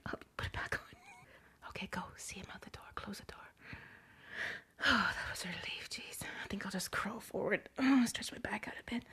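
A woman performing an intimate audio roleplay in hushed, breathless whispers, playful and teasing. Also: medium pitch, breathy edge.

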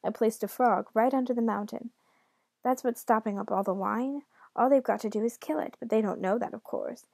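A woman's voice: fairly high pitch, moderate pace, creaky, darkish tone, slightly rough, no room sound. A woman voicing a sullen, easily enraged male devil in a told story, grumbling and exclaiming. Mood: gloating.

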